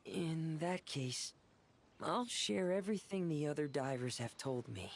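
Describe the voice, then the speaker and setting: A gentle, considerate guide in a video game. The voice is slow and polite, choosing words with care, over a faint background noise. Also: medium pitch, lively intonation. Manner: polite, measured. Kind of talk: video game character dialogue.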